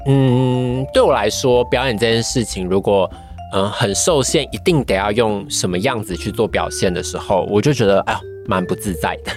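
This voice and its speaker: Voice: mid-range voice, normal speed. Young Taiwanese podcast guest, careful and reflective in answering, music in the background. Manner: thoughtful, careful, qualifying. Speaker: guest, voice-acting trainee. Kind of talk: casual podcast interview answers.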